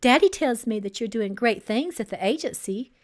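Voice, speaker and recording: Storybook bride-to-be played by a woman reader: young, pampered, high-pitched, flustered, coaxing, very animated. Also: moderate pace, close to an everyday voice. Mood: wheedling. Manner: flustered, stammering, pleading. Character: pampered, wheedling young bride-to-be, nervous and manipulative.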